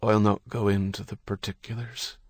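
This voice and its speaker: A man's voice: fairly low pitch, little pitch movement, normal speed, dry recording. A man narrating a story puts on a priest with a folksy, consoling way of talking. He is blunt and probing, with a faint note of accusation. The sound is dry and close. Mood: grave, consoling. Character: Irish priest, solicitous yet prying and blunt.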